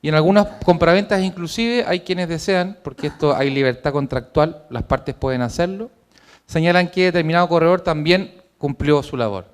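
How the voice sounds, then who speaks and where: A man presenting his own book in a formal, measured lecture to an audience in a hotel conference room. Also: a little high, clean tone.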